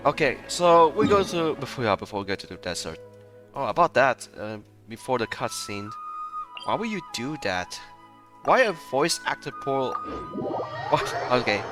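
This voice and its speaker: Slow, animated man streaming a game. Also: medium pitch. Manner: rambling, often breaking into laughter. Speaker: streamer reacting to a game playthrough. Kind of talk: casual live game commentary. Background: game music behind the voice.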